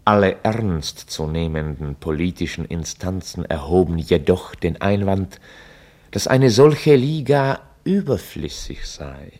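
An actor playing a formal chronicler, narrating a scripted radio drama in a documentary manner. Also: male voice, sits low, expressive, a little slow, darkish tone. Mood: measured, dry. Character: formal chronicler recounting a history.

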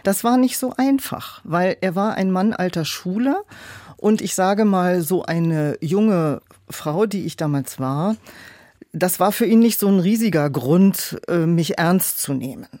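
A woman's voice: fairly low pitch, animated, measured pace, mellow, no room sound. Considered middle-aged woman, dry studio sound. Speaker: audiobook producer, podcast guest. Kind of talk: casual interview storytelling.

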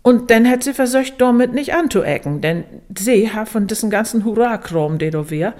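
A woman with a northern Low German accent, explaining informally as an expert in an interview. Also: animated, moderate pace, darkish tone.

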